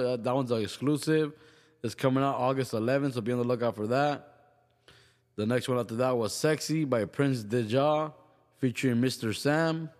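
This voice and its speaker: A man hosting a music radio show, chatting casually and informally with slangy shout-outs. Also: mid-range voice, long reverb tail.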